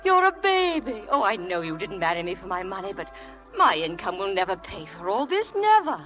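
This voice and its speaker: An actress playing an earnest, trusting young newlywed wife in a 1940s radio drama, stammering when upset. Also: female voice, medium pitch, sing-song, a little fast, fairly bright tone, highs cut off, faint music behind. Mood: firm, reproving. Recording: old radio broadcast recording.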